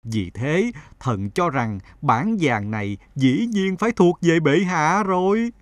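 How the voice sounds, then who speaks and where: A man playing an obsequious, formal courtier who flatters his emperor in a cartoon fable. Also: on the high side, slow pace, no room sound. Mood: fawning. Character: fawning adult male courtier flattering the emperor.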